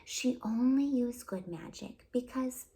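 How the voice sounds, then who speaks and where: A woman hosting storytime, reading a children's picture book aloud in an expressive, deliberate storytelling delivery. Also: on the high side. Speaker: storytime host and reader.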